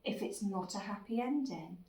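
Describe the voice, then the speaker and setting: A woman voicing a gentle, reassuring mother dinosaur in a storybook, tender and soothing. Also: mid-range voice, expressive, normal speed, a little hiss or hum, natural voice. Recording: echoey room, distant microphone.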